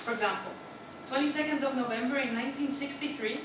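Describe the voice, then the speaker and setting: A woman's voice: sits high, quick pace. A young Dutch woman giving a prepared speech to a club, chatty and putting questions to the audience. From Netherlands. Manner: chatty, asks the audience questions, often adds "right?". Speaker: club speaker. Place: meeting room. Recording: echoey room from far off with noise.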